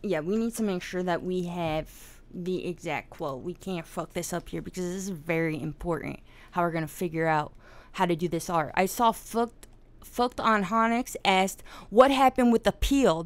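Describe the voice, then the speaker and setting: A woman's voice: lowish voice, normal speed. A woman hosting a casual live-streamed discussion, swearing often and laughing as she tells the story. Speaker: podcast host.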